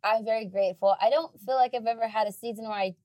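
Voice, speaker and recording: Young woman, mellow, chatty, dry sound. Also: fast talker, dry recording. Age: young adult. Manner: chatty, many fillers, rises high when excited. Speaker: missionary. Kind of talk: casual faith conversation.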